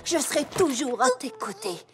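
A woman's voice: high voice, very animated, moderate pace. A woman playing a doting, earnest and overprotective big sister pony in a cartoon, emphatic and fussing. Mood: worried, protective. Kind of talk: animated cartoon dub acting. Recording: music behind the voice.

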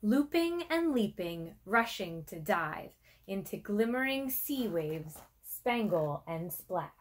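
Slow-paced woman reading a picture book. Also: medium pitch, sing-song. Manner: measured, deliberate phrasing with pauses. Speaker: museum educator reading aloud. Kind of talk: expressive children's picture-book read-aloud. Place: small furnished room with armchair and bookshelf, indoors.

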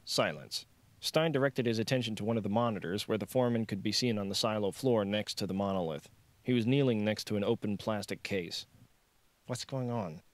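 A man's voice: on the low side, a little fast, no room sound. A man reading scripted narration for a thriller audiobook.

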